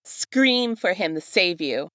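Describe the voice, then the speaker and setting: A woman's voice: lowish voice, very animated, takes it slowly, a bit bright, dry recording, heavily acted. A menacing male villain from a fan story, acted out by a woman narrator. The taunts are mocking and stretched out. The recording is dry and free of room sound. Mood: mocking menace.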